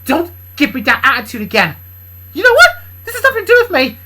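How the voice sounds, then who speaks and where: A woman voicing a bossy young woman who worries over others and snaps into sharp scolding. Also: very high-pitched, sing-song, moderate pace, pitched above the performer's own voice, heavily acted. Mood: angry. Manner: pleading, then sharp and shouty. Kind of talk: improvised fan story voicing.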